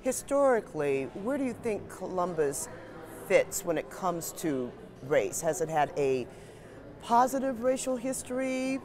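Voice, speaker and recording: Female news host, measured scripted delivery, faint music bed. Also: on the low side, sing-song. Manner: measured, inviting questions to viewers.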